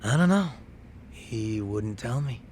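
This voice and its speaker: Slow, measured, secretive man. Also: mid-range voice, lively intonation, darkish tone, slight background noise. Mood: guarded. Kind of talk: dramatic video game dub acting.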